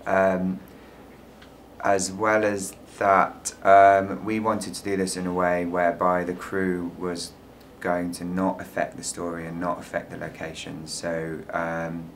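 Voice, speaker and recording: A man with a London accent talks about his film in a relaxed way, with plenty of fillers. His voice sits very low and stays level, with little rise and fall. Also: a little slow, slight background noise. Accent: London English. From England. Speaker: filmmaker guest. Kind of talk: panel interview.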